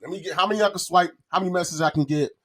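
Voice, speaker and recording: Husky, higher-voiced man in his forties. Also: racing, fairly bright tone. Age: 40s. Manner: profane, slangy, repeats himself. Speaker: podcast guest. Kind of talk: casual chat, personal anecdotes.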